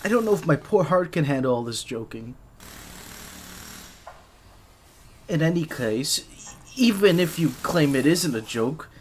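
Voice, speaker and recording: A man's voice: fairly high pitch, a little hiss or hum, close to an everyday voice. A man playing a shy, easily flustered gadget maker for a secret agent in an audio roleplay, speaking nervously and stammering. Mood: flustered, pleading. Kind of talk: scripted ASMR roleplay, one side of a dialogue.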